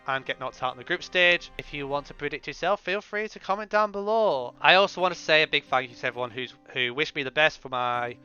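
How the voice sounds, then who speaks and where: Bright, clear man giving predictions. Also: fairly high pitch, brisk, hardly any pauses. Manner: chatty, hesitant restarts, hedging opinions. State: recovering from illness, voice going. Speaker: GeoGuessr video creator. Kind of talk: casual spoken predictions. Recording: slightly dull lo-fi sound, faint music.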